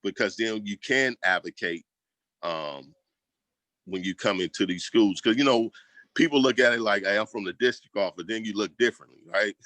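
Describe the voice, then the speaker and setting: Casting: American man, community advocate, bright punchy voice, dry close sound, casual but emphatic interview talk. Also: mid-range voice, dry recording, rough recording. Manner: emphatic, colloquial, repeats phrases for emphasis. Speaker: school district equity staff member and community advocate.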